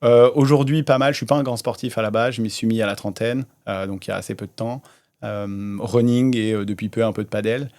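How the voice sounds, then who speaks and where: A man in his thirties, a startup founder, telling his story in a relaxed way with many hesitations in a casual interview. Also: lowish voice, a little fast. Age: 30s.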